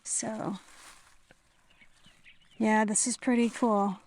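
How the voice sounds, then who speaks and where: Slow, musing woman vlogging outdoors. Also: medium pitch, steady tone, darkish tone. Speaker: hiking vlogger. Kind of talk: casual vlog chat to viewers. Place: outdoors, on a walk and at a tent setup. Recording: noisy outdoor camera recording with natural ambience.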